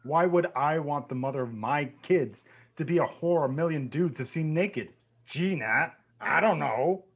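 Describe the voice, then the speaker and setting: Man acting contemptuous husband, table-read drama, rough husky voice, slightly dull recording. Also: a little high, animated, unhurried, full character voice. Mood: scornful. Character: crude, controlling, contemptuous husband. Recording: dull, muffled lo-fi sound.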